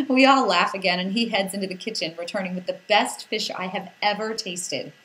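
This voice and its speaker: A woman's voice: mid-range voice, moderate pace, fairly bright tone. Woman narrating a personal story, expressively. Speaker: narrator reading a personal essay.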